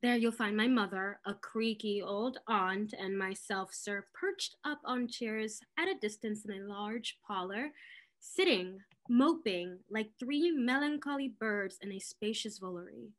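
A woman playing a witty, sharp-tongued young lady in a classical stage comedy, arch and mocking. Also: medium pitch, a little slow, natural voice. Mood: wry, dramatic. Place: indoors at home, on a video call.

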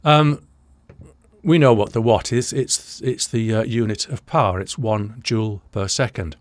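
A man's voice: fairly low pitch, measured pace, no room sound. Dry-sounding older British man explaining audio. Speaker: audio engineering presenter. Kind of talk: explanatory reaction commentary to camera. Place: small indoor studio room, dry.

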